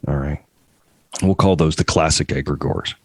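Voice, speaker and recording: A man's voice: very deep voice, moderate pace, a little mellow, husky, dry recording, clean studio sound. A young man hosting a podcast, carefully setting up long interview questions. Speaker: podcast host.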